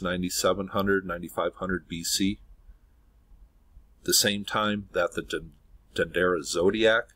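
Low-voiced guy narrating his screen recording, earnest and speculative, says obviously a lot. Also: fairly bright tone. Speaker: video host.

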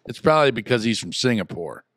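A man co-hosting a relaxed podcast, rambling through stories with excitable energy. His pitch is ordinary for a man. The recording is close and dry. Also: quick pace, no room sound. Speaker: podcast co-host. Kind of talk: casual chat.

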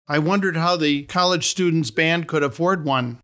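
A middle-aged man reading his own story aloud as a podcast host, in a measured, wry storytelling manner. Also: a little high, a bit bright. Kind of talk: scripted storytelling narration.

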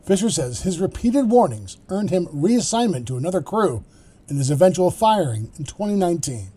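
A man hosting a news podcast, reading a scripted report in a measured, explanatory way. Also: high-pitched, big pitch swings, warm tone, breathy edge.

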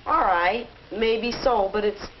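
A man's voice: high voice, slow pace. A young male actor playing a reluctant, sceptical fourteen-year-old boy in a 1950s instructional film. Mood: hesitant, curious. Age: teenager. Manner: hesitant, sceptical. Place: indoor film set. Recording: dull, noisy old 1950s film soundtrack.

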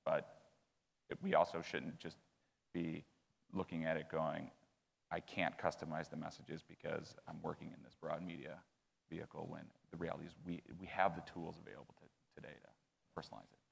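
A man's voice: rumbling low, flat delivery, moderate pace, gravelly, slightly rough. A man speaking as a panelist at a conference, building on the others' points. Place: large conference hall stage. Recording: long hall reverb.

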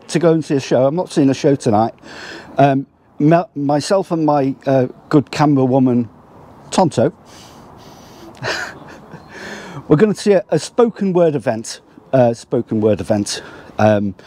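Older English man vlogging outdoors, mellow. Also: on the high side. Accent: Lancashire with a slight Liverpool tinge. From Prescot, England. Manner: hesitant, many ums and restarts. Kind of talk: casual vlog to camera. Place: outdoors by a theatre at dusk. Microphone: camera close at arm's length.

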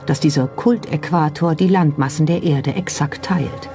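A narrator reading a scripted documentary in a measured storytelling style. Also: medium pitch, warm tone, soft background music.

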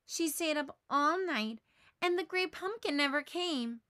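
A woman narrating a children's storybook aloud in a measured, expressive way. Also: high voice, dry recording, clean studio sound.

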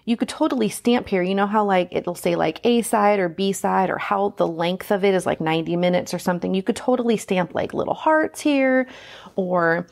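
A woman's voice: mid-range voice, moderate pace, vocal fry, a little mellow. A woman hosting a casual hands-on craft tutorial, chatting informally and thinking aloud as she works.

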